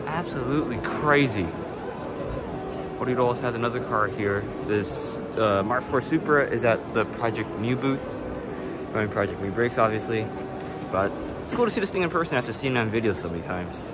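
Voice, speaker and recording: A man's voice: medium pitch, lively intonation, normal speed, very noisy. A man filming himself as he walks a large indoor car show, giving casual, enthusiastic commentary on the builds with light swearing. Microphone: handheld camera, filming point of view. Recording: loud music behind, busy hall.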